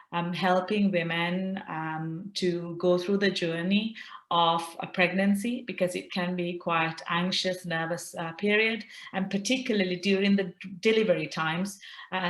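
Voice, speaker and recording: A middle-aged woman from Sri Lanka, a consultant doctor, answering interview questions earnestly with many pauses and fillers. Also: lowish voice, fairly bright tone, reverberant. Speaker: consultant obstetrician and gynaecologist.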